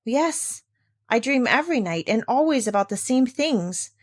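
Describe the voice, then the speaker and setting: A woman's voice: fairly high pitch, animated, moderate pace, voice pushed up. A woman reading aloud voices a frightened, homesick little girl in a storybook who talks in a small, hesitant, tearful way.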